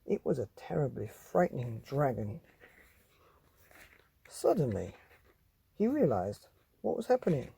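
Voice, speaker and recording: A man with a very dark, mellow and slightly husky voice reads a bedtime story to children. His pitch swoops expressively as he draws out key phrases for young listeners. He speaks like a storytelling host, addressing the children directly. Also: wildly swooping pitch, measured pace, pauses often.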